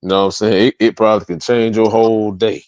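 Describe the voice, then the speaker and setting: A man's voice: on the low side, little pitch movement, fast, darkish tone, clear, resonant tone, no echo, dull audio. A man speaking casually as a music artist guest in an interview, motivational, emphatic and repetitive, with slangy tag questions.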